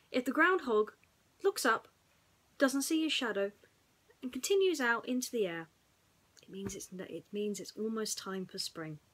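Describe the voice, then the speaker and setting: A woman storyteller chatting to young children and narrating a bedtime story in an expressive way. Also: mid-range voice.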